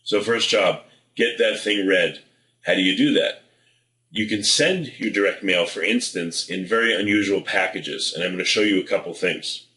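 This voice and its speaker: A man's voice: low-pitched, moderate pace, sharp tone. A man presenting informal marketing advice in a direct, persuasive, plain-spoken way. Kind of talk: informal sales advice to camera. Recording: roomy indoor echo.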